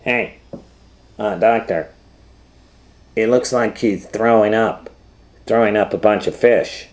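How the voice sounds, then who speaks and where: A man's voice: fairly low pitch, slow, a little mellow. A man voicing an innocent, curious child who interrupts a storybook reading with questions. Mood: puzzled.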